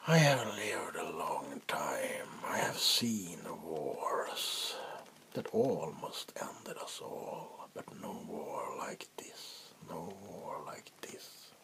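Man playing a whispering, very slow vampire warlord. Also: low-pitched, sing-song, heavily acted. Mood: grim, weary. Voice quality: breathy whisper. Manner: slow, deliberate, menacing. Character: ancient power-hungry vampire warlord, male, coldly commanding. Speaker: ASMR roleplay performer. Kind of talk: soft-spoken ASMR roleplay monologue. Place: small wood-panelled room indoors.

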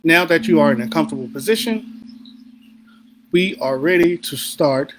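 A man guiding a sleep meditation in a soothing, deliberate manner with unhurried pauses. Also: fairly high pitch, background noise. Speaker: meditation guide. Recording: background music under the voice. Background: music.

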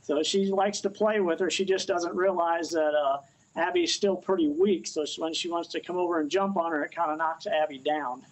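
Guest: unhurried man in his fifties, higher voice, plain and halting, remote from a porch. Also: fairly even intonation, poor audio. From Missouri. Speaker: dog owner, interview guest. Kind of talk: casual remote interview. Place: outdoors on a house porch.

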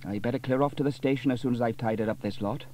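A man's voice: medium pitch, quick pace, warm tone, slight background noise, lightly acted. A man voicing a cheerful, proud, inventive village fireman in a storybook reading. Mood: cheery. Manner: hearty and proud.

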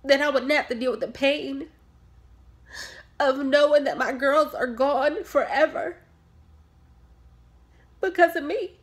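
Woman acting out a defensive, grieving mother, gravelly creak. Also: a little high, fairly even intonation, a little slow, long pauses, fairly bright tone. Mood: distraught. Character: grieving, defensive mother questioned about her sanity. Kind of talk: dramatic monologue acting.

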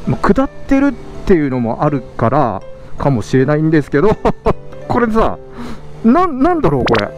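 Excitable man riding a motorcycle, lively voice. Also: moderate pace, lo-fi sound. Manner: excited, laughing, swinging between loud exclamations and low muttering. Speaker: motovlog host. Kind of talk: casual motovlog commentary while riding. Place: outdoors on a moving motorcycle. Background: road and engine noise with background music.

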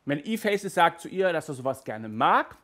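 Lively man reviewing audio dramas. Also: a little high, normal speed. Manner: lively, gestures a lot, stumbles and restarts. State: hot and flustered by the heat. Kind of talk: casual video review. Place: small indoor room with toy shelves.

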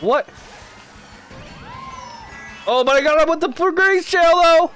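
A young man with a congested voice from the flu, giving casual, excitable commentary as he plays a racing game at home on a desk mic. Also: extremely high pitch, takes it slowly, faint music behind, low-quality recording. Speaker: gaming YouTuber. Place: home gaming room. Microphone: desk mic, face camera.

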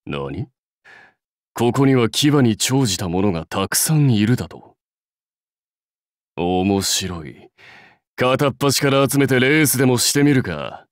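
An actor playing a proud, brusque warlord for a mobile game, curt, commanding and self-assured in manner. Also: male voice, medium pitch, sing-song, takes it slowly, pauses often, dry recording, studio quality. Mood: confident, dry. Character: proud, brusque warlord rider devoted to his clan. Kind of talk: Japanese mobile game character lines.

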